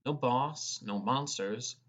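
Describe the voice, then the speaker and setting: A man's voice: mid-range voice, takes it slowly, a bit bright. A man reading first-person fantasy narration as an audiobook narrator. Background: music.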